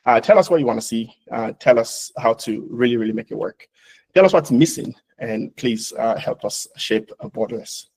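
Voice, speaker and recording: A man, the company founder, giving an earnest launch speech and moderating a panel, halting often with fillers. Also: medium pitch, gravelly, darkish tone, husky, rough recording.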